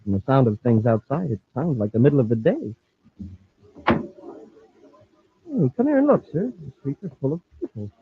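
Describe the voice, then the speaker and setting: A man playing a boyish, eager space cadet sidekick, excitable and deferential, in 1950s radio serial style. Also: medium pitch, moderate pace, long pauses, background noise. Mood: curious, surprised. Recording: tinny, band-limited old radio recording.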